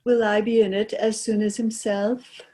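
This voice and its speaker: A woman's voice: mid-range voice, flat delivery, normal speed, warm tone, close to an everyday voice. A woman playing a fretful, grieving old peasant mother in a stage play, plaintive. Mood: weary, bitter. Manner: lamenting, plaintive. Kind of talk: stage drama read as virtual play.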